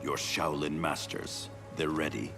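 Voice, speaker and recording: A gravelly, deep-voiced stoic warrior. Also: male voice, fairly even intonation, unhurried, music in the background, exaggerated voice. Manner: curt, guarded, distrustful. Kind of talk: video game cutscene acting.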